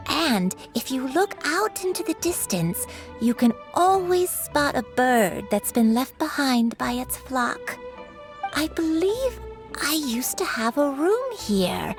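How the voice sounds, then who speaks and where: A woman voicing a gentle, forgetful old woman who speaks slowly and haltingly. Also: high voice, expressive, soft background music. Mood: wistful. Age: older. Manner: slow, halting, gravelly. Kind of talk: game voice acting.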